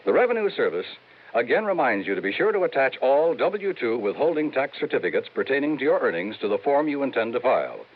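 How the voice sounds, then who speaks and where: A man announcing in a crisp, formal 1950s radio style. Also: medium pitch, sing-song, fast talker. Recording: tinny old broadcast recording.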